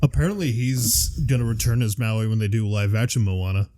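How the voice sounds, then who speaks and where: A man's voice: lowish voice, fairly quick, mellow, no room sound, clean studio sound. A man co-hosting a casual chat about games and films, explaining things in a rambling way. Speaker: podcast co-host.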